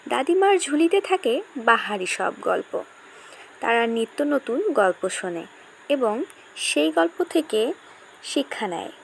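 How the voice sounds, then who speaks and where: A woman's voice: a little high, very animated, slow, gravelly, long pauses, slight background noise, low-quality recording. A woman storyteller giving scripted narration of a children's story.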